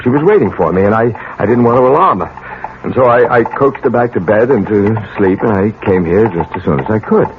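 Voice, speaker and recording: Male actor as nervous educated professor, middle-aged, low pitch, halting formal speech, radio drama, hissy narrow-band recording. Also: lively intonation, natural voice. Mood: anxious, apologetic. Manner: halting, repeats words, formal diction. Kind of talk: 1970s radio drama acting. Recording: tinny, noisy 1970s radio broadcast recording.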